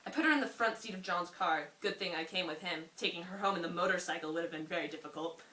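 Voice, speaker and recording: A young woman reading a story aloud to a friend in an amused, expressive way, often breaking off for asides. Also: lowish voice, quick pace. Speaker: reader. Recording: roomy, distant mic with faint noise.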